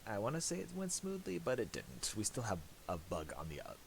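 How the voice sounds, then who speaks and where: A man narrating a casual software tutorial, thinking aloud in a halting way. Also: fairly low pitch, huge pitch swings, gravelly. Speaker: tutorial presenter. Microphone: voice-over for a screen recording. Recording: hissy amateur voice-over.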